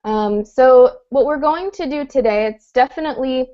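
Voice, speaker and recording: A woman teaching a beginner English class online, speaking patiently and clearly with an encouraging manner. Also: high voice, moderate pace, dark tone. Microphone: video call. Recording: dull lo-fi video call audio.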